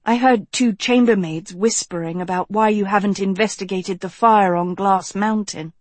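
A woman voicing a sharp-minded, insistent young woman in a fantasy audiobook, questioning and challenging. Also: medium pitch, moderate pace, no echo, lightly acted. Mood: pressing.

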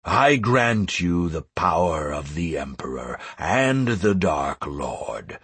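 A man voicing an ancient male dragon in an audiobook, solemn and commanding, pronouncing slow, ponderous decrees. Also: low-pitched, big pitch swings, a bit bright, husky, no room sound, pitched below the performer's own voice, heavily acted.

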